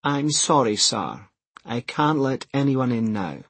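A man's voice: medium pitch, big pitch swings, slow, a bit bright, breathy edge, no room sound, exaggerated voice. A man voicing a formal, rule-bound male official in an audiobook, firm and unyielding. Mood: firm refusal. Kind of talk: audiobook character dialogue.

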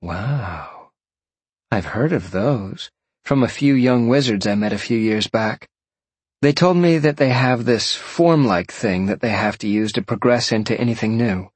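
Man voicing a playful, breezy sorceress, pitched up. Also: measured pace, slightly rough, dry recording. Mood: casual, proud.

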